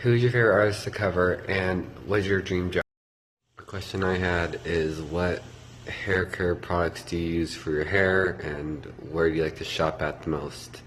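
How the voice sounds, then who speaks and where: A man's voice: low-pitched, steady tone, moderate pace, fairly bright tone, slight background noise. A man from the United States asking a vlogger a run of fan questions. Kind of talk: recorded question.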